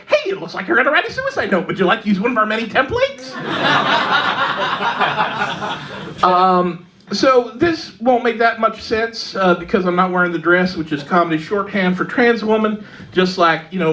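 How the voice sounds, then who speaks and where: A woman with a Texan accent performing a stand-up set in a pub comedy club, delivering dark jokes deadpan, with lots of fillers and little laughs at her own lines. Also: fairly low pitch. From Texas. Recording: live club mic, loud audience laughter.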